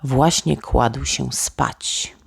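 A woman storyteller narrating a bedtime tale for children in a gentle, expressive way. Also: rumbling low, extremely slow, gravelly, breathy edge, no room sound, studio quality. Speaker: storyteller, author reading own tale.

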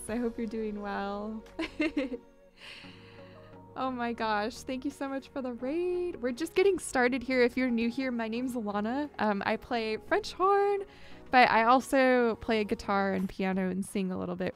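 Young woman streamer, chatty and bright-pitched, light fry, close studio mic, faint music underneath. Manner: chatty, upbeat, squeals greetings at viewers. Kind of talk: casual live-stream chat. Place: small home studio, indoors. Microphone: large studio mic on boom, headphones.

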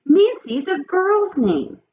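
Woman reading a shy schoolboy, voice goes up high, lively, kinda muffled webcam sound. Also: slow pace, exaggerated voice. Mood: earnest.

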